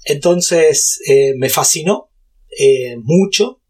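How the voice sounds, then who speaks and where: A man in his fifties with an Argentine Spanish accent, Portuguese-speaking from childhood, telling his story at length in a relaxed interview, with many fillers and restarts. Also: a little high, takes it slowly, breathy edge. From Brazil. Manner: talkative, frequent fillers and restarts. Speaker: guest, YouTuber and entrepreneur. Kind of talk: casual interview.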